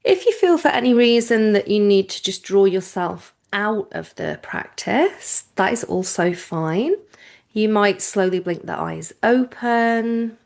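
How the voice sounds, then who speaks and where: Woman gently guiding a relaxation class. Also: mid-range voice, lively intonation, vocal fry. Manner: gentle, reassuring, unhurried phrasing with pauses. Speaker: yoga nidra teacher leading a class. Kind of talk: guided relaxation instruction, informal and conversational.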